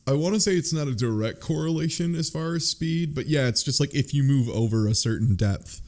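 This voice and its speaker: Man, medium pitch, casual explainer, rambling, analytical, blunt. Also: a little fast, a little mellow. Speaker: book club panelist.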